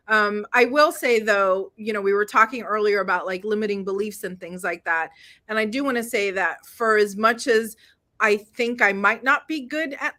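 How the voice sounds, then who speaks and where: A woman's voice: mid-range voice, pushed and bright. A woman hosting a casual conversation, chatty and affirming, often agreeing and laughing along with her guest.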